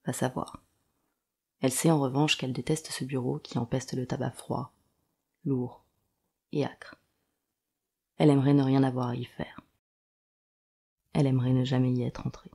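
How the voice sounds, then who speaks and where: A woman's voice: a bass voice, level tone, normal speed, gravelly, long pauses, mellow, slightly rough, full character voice. A woman acting as a dry, sardonic storyteller, narrating a noir audio drama. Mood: wry, subdued. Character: wry, detached storyteller of a noir tale.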